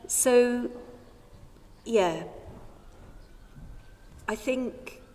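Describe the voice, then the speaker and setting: A soft-spoken woman campaigner with a Northern English accent, speaking earnestly and hesitantly on a live panel through a radio mic in a large church. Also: on the high side, very slow. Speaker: Friends of the Earth campaigner on a panel. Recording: long church reverb, faint noise.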